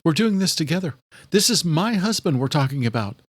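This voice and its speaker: A man's voice: sing-song, fairly quick, dry recording. A man voicing a distraught, determined younger sister in an audiobook, pleading and insistent. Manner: pleading, insistent, higher-voiced. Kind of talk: audiobook character acting.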